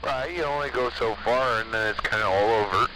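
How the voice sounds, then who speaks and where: A man's voice: medium pitch, brisk. An American man chatting casually as an operator over a CB radio transmission. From United States. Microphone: CB radio microphone. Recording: hissy, noisy CB radio transmission.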